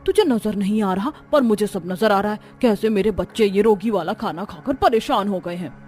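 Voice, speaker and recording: A woman's voice: medium pitch, moderate pace, darkish tone, natural voice. A woman voicing a stern, exasperated middle-aged mother-in-law in a cartoon family drama, complaining emphatically. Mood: scolding. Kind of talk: cartoon story character acting. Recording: music under the voice.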